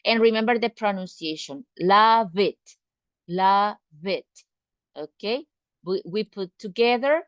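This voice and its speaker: Brief: woman English teacher, slow and patient, repeats words, dry close sound. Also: mid-range voice, no echo. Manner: asks students direct questions. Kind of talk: online English class.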